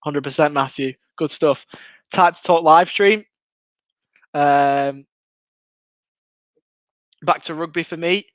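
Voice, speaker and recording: Excitable young English man, high voice. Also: takes it slowly, long pauses, no echo. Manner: excitable, chatty, reads out viewer comments. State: losing his voice. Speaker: fan watchalong host and commentator. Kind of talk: live watchalong commentary and chat.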